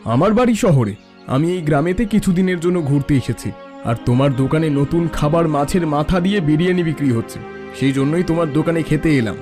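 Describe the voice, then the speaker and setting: A man's voice: fairly high pitch, a little fast, darkish tone, faint music behind, close to an everyday voice. A man voicing a friendly, confident young visitor from the city in a cartoon. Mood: curious. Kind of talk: Bengali cartoon voice acting.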